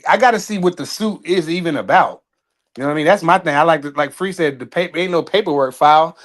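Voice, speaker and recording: Guy rambling loose on a boxing show, voice a bit high, trails off. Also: quick pace. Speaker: co-host. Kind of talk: casual sports chat.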